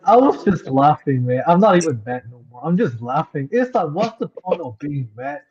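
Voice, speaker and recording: Casting: man, high and lively pitch, exasperated, low muffled mic. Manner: exasperated, rapid rhetorical questions. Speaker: guest. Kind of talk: casual chat.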